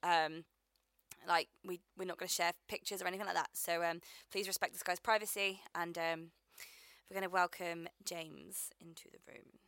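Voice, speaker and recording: A woman hosting a podcast, gently encouraging her guest with frequent fillers. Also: lowish voice, flat delivery, moderate pace, no room sound, clean studio sound. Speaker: podcast host. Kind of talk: casual chat.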